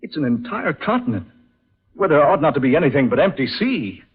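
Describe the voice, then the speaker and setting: Husky, commanding yacht owner, vintage radio. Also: male voice, fairly high pitch, big pitch swings, brisk, exaggerated voice. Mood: incredulous. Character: commanding, skeptical yacht owner, gruff man of action. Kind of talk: 1940s radio acting. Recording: dull, muffled old radio recording.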